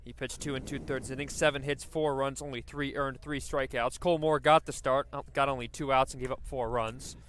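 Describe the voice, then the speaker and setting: A man's voice: mid-range voice, steady tone, a little fast, hardly any pauses, fairly bright tone, a little hiss or hum. A man doing live radio baseball play-by-play, describing the action and working in stats as he goes. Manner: descriptive, stat-laden delivery.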